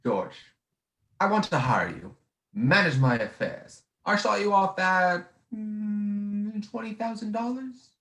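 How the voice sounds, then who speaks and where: A man's voice: sits high, animated, slow pace, fairly bright tone, full character voice. A young man playing a domineering, scheming old tycoon in a 1940s-style radio play, with an imperious, cajoling manner. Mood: cajoling, condescending. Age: young adult. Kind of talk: 1940s radio drama acting. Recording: echoey room over video call.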